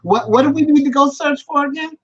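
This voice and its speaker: A woman's voice: high-pitched, a little fast, lo-fi sound. A woman hosting a virtual interview, who talks in a playful, teasing way. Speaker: host.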